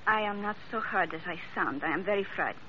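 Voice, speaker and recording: A woman's voice: mid-range voice, fast, bright, forceful tone, dull audio, slight background noise, close to an everyday voice. Lady acting schemy femme fatale, spanish accent, formal dramatic phrasing, old radio show, muffly. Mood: anxious, insistent. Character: sultry, scheming foreign woman, outwardly grieving and frightened.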